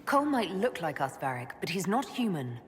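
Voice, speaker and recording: Elf mage leader in a game, calm n direct, lowish voice, echoey hall. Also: female voice, a little fast. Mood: calm, supportive. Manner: level, direct, dry. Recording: reverberant hall with noisy ambience.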